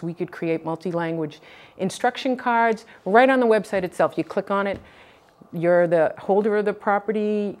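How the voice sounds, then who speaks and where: A middle-aged woman from Boston, a political candidate answering questions in a TV studio interview, earnest and measured, recorded on a lapel mic. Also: on the low side, darkish tone. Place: TV news studio.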